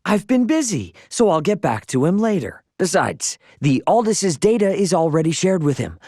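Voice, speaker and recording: Breezy, animated young space pilot. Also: male voice, high voice, normal speed, no echo, studio quality, exaggerated voice. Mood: defensive, annoyed. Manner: breezy, slangy, informal delivery. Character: easygoing, cocky young male starship pilot and swordsman. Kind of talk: video game voice acting.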